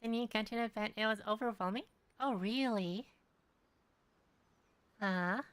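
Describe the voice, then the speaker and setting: A woman's voice: a little high, a little slow, clear, resonant tone, no room sound. A young woman streaming a game, chatting casually and hesitantly with many fillers. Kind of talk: casual live-stream chat. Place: home streaming setup.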